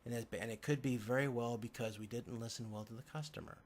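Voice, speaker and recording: Man giving a halting slide lecture. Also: medium pitch, fairly even intonation, slight background noise.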